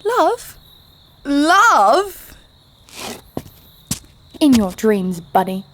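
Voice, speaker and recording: A woman's voice: high voice, sing-song, drawn-out words, fairly bright tone, a little hiss or hum, exaggerated voice. A woman playing a brash, sardonic young adventurer, blunt and sarcastic, narrating her exploits in an audio drama. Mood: disgusted, defiant.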